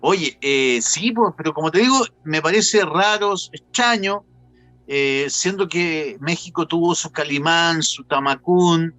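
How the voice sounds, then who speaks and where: Chilean male host, bright tense voice, dry close sound, colloquial and animated, music behind. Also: a little high, a little slow, no echo. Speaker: interview host. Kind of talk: casual conversational interview.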